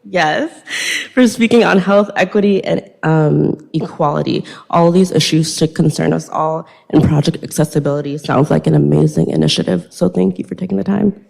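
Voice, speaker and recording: A woman's voice: low voice, normal speed, gravelly, a little mellow. A woman hosting a live event, making formal announcements and introducing the programme. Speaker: event host. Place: university recital hall.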